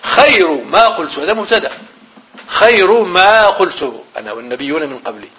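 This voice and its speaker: A man's voice: high voice, animated, fairly quick, sharp tone, poor audio. A man with a Moroccan Arabic accent teaching a grammar lesson. He repeats phrases, asks the class questions and then answers them himself.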